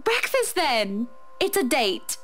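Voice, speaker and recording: A woman's voice: high voice, sing-song, slow pace, fairly bright tone, voice pushed up, exaggerated voice. A woman voicing a haughty, well-to-do wife from a visual novel, prim, clipped and sarcastic. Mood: brisk, haughty. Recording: faint music behind voice.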